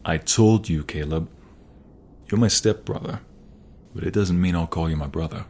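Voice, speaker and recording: A man's voice: low-pitched, normal speed, a little mellow. A man playing a young romantic mobster, protective and teasing, in an intimate ASMR audio roleplay. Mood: guarded, protective.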